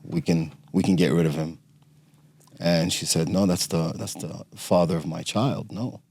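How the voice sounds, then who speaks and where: A middle-aged man from Romania, a podcast guest telling his family story in a halting way with many fillers and restarts. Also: deep voice, steady tone, darkish tone, breathy edge. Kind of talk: conversational interview storytelling.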